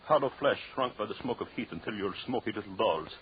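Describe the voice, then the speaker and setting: An actor in an old radio suspense drama playing a deranged, tormented, murderous anthropologist who talks obsessively to himself in a theatrical way. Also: male voice, lowish voice, lively intonation, quick pace, close to an everyday voice. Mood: brooding, haunted. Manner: theatrical, muttering to himself, repeating phrases obsessively. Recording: dull, noisy old radio recording.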